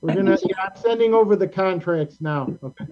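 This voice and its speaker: A man's voice: high-pitched, a little fast. A man hosting a casual group chat with his cast, encouraging in manner, over an online call with humming, lo-fi sound. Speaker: show host and director. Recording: dull lo-fi call with mains hum.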